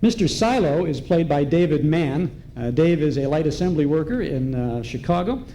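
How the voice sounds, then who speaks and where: A man's voice: a little high, lively intonation, normal speed, dark tone. A man speaking as master of ceremonies, introducing performers to a live audience in a large ballroom. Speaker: event host and master of ceremonies. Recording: live ballroom recording with background noise.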